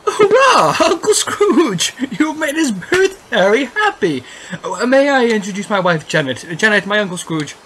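An actor playing a loving, good-natured young husband and nephew in an audio drama. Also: male voice, high voice, very animated, measured pace, a bit bright, a little hiss or hum, heavily acted. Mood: delighted.

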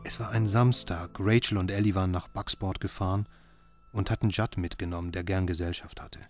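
Actor as brooding family man, low-pitched voice. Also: steady tone, fairly quick, soft background music, natural voice. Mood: grave, foreboding. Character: brooding husband and father, narrating his own story. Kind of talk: radio drama narration and dialogue.

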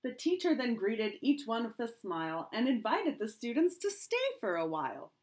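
A middle-aged woman reads to young children like a kindergarten teacher. Her voice sits a bit high and swings widely in melody. She leans into the rhymes with expressive, sing-song emphasis. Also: moderate pace. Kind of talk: reading a rhyming picture book aloud to children. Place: small home room indoors.

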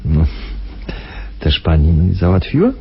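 A rough, very husky homicide lieutenant from a radio thriller, low and lively in intonation. He probes with hesitant, dry irony. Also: slow, exaggerated voice. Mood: probing. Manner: hesitant, probing, dryly ironic. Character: probing, sardonic male homicide lieutenant posing as criminal client. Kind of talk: Polish radio crime drama acting. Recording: dull, muffled radio recording.

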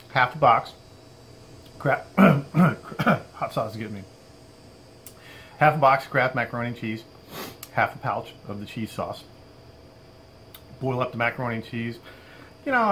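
A man's voice: medium pitch, slight background noise. Chatty middle-aged man cooking outdoors. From Florida. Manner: relaxed, chatty, lots of "all right" fillers. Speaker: outdoor cooking channel host. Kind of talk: casual cooking demo. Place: outdoor covered patio at a table.

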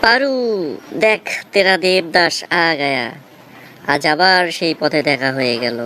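A man's voice: fairly high pitch, sing-song, a little slow, sharp tone, full character voice. A man playing a cocky, theatrical young jilted village lover in a comic cartoon, with a Bangladeshi accent. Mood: melodramatic, lovelorn. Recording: noticeable background noise.

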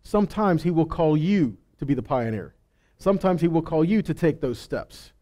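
An animated male preacher in his thirties, moving around a small stage with a headset mic. His voice sits fairly high for a man but has a dark, mellow tone. He shifts between teaching and reading scripture. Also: moderate pace. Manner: animated, gesturing while preaching, reads scripture aloud. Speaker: pastor. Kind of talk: sermon teaching. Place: small stage with purple lights, indoors.

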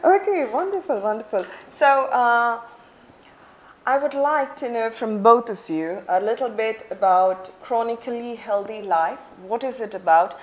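A woman in her thirties hosting a studio talk show, asking measured questions with frequent restarts on a lapel mic. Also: on the high side. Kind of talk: studio interview. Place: TV studio set.

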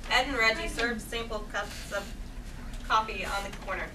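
A woman's voice: fairly high pitch, fairly even intonation, normal speed. A woman narrating the stage directions at a comedy script table read. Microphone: far camera. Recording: distant stage recording with background noise.

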